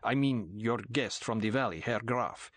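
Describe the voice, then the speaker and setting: A man voicing a stiff male guard giving a rapid, clipped report to his master. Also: medium pitch, a bit bright, no room sound. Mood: dutiful.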